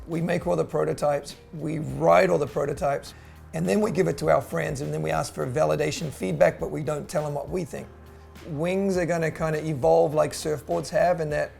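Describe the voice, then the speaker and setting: A middle-aged man with a New Zealand accent tells the story of his company in a relaxed, casual way, stopping often on "uh". His voice sits a little high and has a strong gravelly fry. Soft music plays faintly behind him. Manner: relaxed, with frequent "uh" pauses. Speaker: company co-founder. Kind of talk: casual promotional chat.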